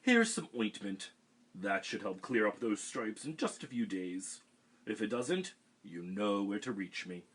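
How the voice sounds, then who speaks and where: Fussy storybook doctor, raised and quick, rattles off lists — a man acts him, husky. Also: lowish voice, expressive, fairly bright tone, full character voice. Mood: brisk. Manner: rapid-fire symptom list, bustling. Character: brisk fussy male country doctor.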